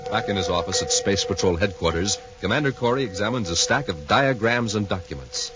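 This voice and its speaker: Actor as low-pitched dramatic radio serial narrator. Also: male voice, animated, lightly acted. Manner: measured, dramatic. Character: solemn male narrator of space adventure serial. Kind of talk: 1950s radio scripted narration. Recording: muffled 1950s radio, music behind.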